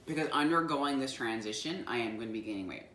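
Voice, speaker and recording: A woman's voice: rumbling low, expressive, fast, a bit bright, a little hiss or hum. A young transgender woman explaining her experience in a casual chat, candid and full of ums. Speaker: guest. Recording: echoey room, mic at a distance, faint ambience.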